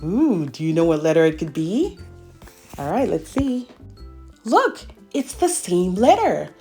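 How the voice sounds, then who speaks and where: A woman reading a picture book aloud to children in an expressive, animated way, with friendly asides to the listeners. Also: lowish voice, measured pace, faint music behind.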